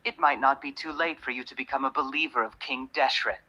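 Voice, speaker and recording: An actor playing a shady, zealous, menacing desert mercenary in a video game, speaking in a theatrical, sneering way. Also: male voice, medium pitch, fairly even intonation, a little fast, bright, forceful tone. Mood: fervent, ominous.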